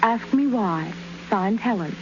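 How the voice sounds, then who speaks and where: An actress playing an eager young bride-to-be in a 1930s radio commercial. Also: female voice, medium pitch, lively intonation, slow. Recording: dull, faintly hissy 1930s radio.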